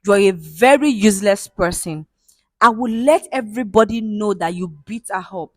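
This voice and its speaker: A woman's voice: mid-range voice, moderate pace, no room sound, natural voice. A woman with a Nigerian accent voicing a scheming, jealous village woman who gloats over her plans. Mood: scolding. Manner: gloating, plotting.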